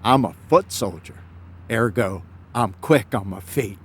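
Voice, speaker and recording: A man's voice: on the low side, animated, noisy background. A man playing a proud, cheeky foot soldier, politely defiant and wordy, in a theatrical audio drama. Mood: cocky, defiant. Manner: measured, wordy, mock-courteous.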